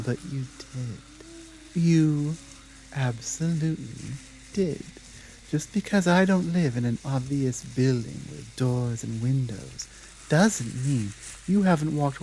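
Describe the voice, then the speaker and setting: A menacing female werewolf pack leader, played by a woman for a roleplay ASMR drama. Her voice is dark and mellow, and she takes her time with every deliberate, taunting command. A faint sizzle of ambient noise sits behind her. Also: low voice, full character voice, poor audio.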